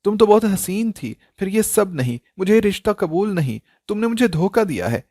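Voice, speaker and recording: A man voicing a shocked, angry young bridegroom in a dramatic story, shouting accusations in disbelief. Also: high-pitched, sing-song, moderate pace, no echo, studio quality, voice pushed up, exaggerated voice. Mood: angry rejection.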